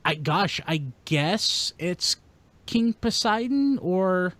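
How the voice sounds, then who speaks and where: A man hosting a casual podcast, chatting in an animated, exclamatory way. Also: high voice, slow pace, no echo. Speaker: podcast host. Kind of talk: casual toy-news podcast chat.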